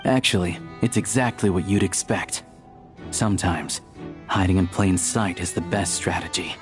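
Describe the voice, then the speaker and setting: A shrewd, composed video game woman. Her voice is extremely low, and her delivery is measured and matter-of-fact. Soft music sits faintly behind her. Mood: calm, knowing. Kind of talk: English video game dialogue acting.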